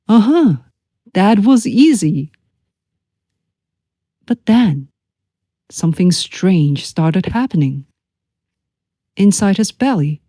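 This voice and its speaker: Slow, mellow woman reading a bedtime story. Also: medium pitch, no echo, studio quality. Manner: gentle, expressive.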